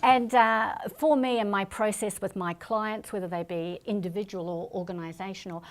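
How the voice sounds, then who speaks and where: A middle-aged woman, a speaker and trainer, answering interview questions in a calm, explanatory way. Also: mid-range voice, normal speed. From New Zealand family, born in Singapore. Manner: calm, explanatory, self-promoting.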